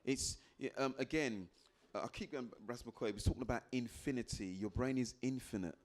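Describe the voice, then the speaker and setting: Man, 50s, life coach; animated live talk, works the room. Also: mid-range voice, fairly quick. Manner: animated, quotes people in put-on voices, asks the crowd questions. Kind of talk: motivational talk to a live audience.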